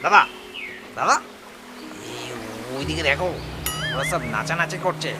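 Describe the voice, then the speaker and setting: Crook's eager sidekick for a cartoon, male actor, conspiratorial and greedy, pitched a bit high. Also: a little slow, lightly acted. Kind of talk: Bengali cartoon voice acting. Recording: loud music behind the voice.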